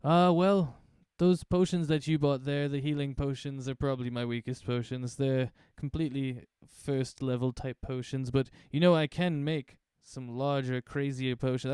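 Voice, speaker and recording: A man's voice: medium pitch, moderate pace, few pauses, a little mellow, clear, resonant tone, no room sound, close to an everyday voice. A man voicing an eccentric, forgetful male potion seller in a tabletop game, talking in a chirpy, theatrical way.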